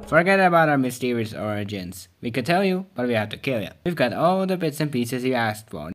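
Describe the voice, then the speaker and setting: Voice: medium pitch, sing-song, over music, natural voice. A performer voicing a cheeky, wisecracking cartoon kid with a glib, sarcastic manner in a fan dub. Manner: glib, quick-witted, sarcastic.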